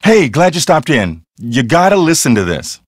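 An animated, self-assured ghost hunter. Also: male voice, a little high, normal speed, no echo, clean studio sound. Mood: friendly. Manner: matter-of-fact, explanatory, conversational. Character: self-assured, earnest male ghost hunter with pseudo-scientific theories. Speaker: voice actor in a mystery game. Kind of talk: acted video game dialogue.

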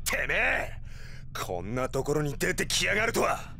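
An actor playing a brash, hot-headed teenage delinquent hero in a Japanese anime game, talking in rough street slang. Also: male voice, on the high side, huge pitch swings, normal speed, bright, forceful tone, a little hiss or hum, exaggerated voice. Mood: angry, confrontational. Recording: music behind the voice. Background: game music.

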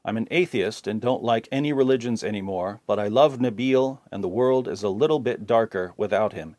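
Man, comment reader, plain steady delivery, dry close sound. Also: on the low side, a little fast, no echo. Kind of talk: reading comments aloud.

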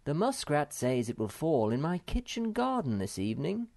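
A reader voicing Moomin Mamma, a gentle troll mother who is easily flurried. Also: medium pitch, very animated, normal speed, creaky, voice pushed up. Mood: fussing.

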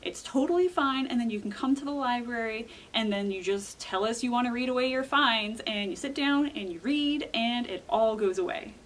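A woman with a fairly high voice speaks as a children's librarian. She presents in an emphatic, upbeat way, as if guiding young visitors through a prepared tour. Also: normal speed, hardly any pauses, fairly bright tone. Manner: enthusiastic, emphatic. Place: indoors in a public library.

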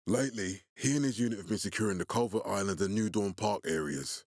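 A man voicing a grave, calculating militia commander in a video game, with a husky, authoritative and deliberate delivery. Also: fairly low pitch, darkish tone, no room sound, clean studio sound. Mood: controlled, serious. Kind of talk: video game character dialogue.

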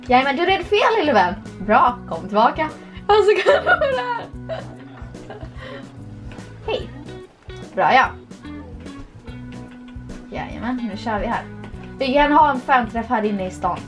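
A woman's voice: high voice, very animated, pauses often, a bit bright, soft background music. A young woman casually chatting while playing a horse game, playful and giggly, recorded at a desk webcam in a small room. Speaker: vlogger. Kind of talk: casual gameplay commentary.

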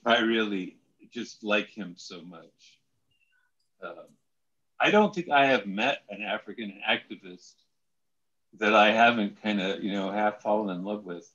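A middle-aged man hosts a video call through a headset. His tone is bright and forward, his prompts friendly and a little hesitant. The call audio sounds dull and lo-fi. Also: fairly low pitch, a little slow. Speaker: host.

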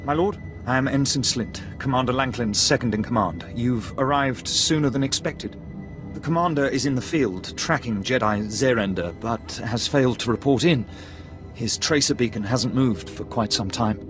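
A man acting a junior Imperial ensign from a video game. He reports crisply and deferentially in a medium-pitched, worried voice, with soft music behind. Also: normal speed, natural voice. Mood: formal, worried. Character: formal, anxious male junior Imperial ensign.

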